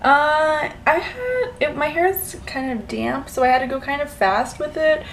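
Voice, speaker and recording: A woman's voice: high-pitched, lively intonation, moderate pace. A young woman chatting informally and giggly in a casual get-ready-with-me vlog. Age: young adult. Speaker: vlogger. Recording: faint background noise.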